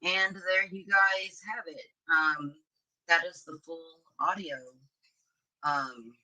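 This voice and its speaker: A woman's voice: sits low, sharp tone, poor audio. A woman hosting a true crime live stream, talking casually and addressing her listeners directly.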